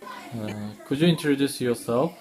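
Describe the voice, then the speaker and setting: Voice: mid-range voice, a little slow. Interviewer asking short set questions. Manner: brief, repeats set questions.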